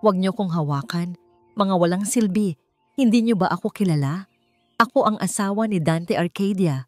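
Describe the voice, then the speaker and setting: A woman voicing an enraged, scheming wife in a dramatic story, shrill and defiant as she spits threats. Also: moderate pace, darkish tone, no room sound, soft background music, close to an everyday voice. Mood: defiant rage. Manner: spitting threats and insults.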